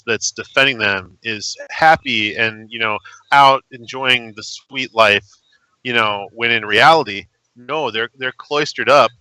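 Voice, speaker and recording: A man co-hosting a casual gaming podcast, telling a story in an animated, joking way with a baritone voice. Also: clear, resonant tone, no room sound, low-quality recording. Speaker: podcast co-host.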